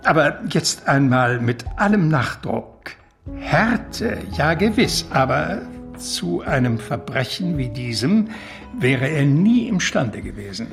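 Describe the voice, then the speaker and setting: A man's voice: a little high, sing-song, slow, creaky, soft background music, full character voice. An actor playing an urbane, talkative and evasive male confidant in a radio drama, with mannered, eloquent phrasing. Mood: flustered, emphatic. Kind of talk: German radio drama acting.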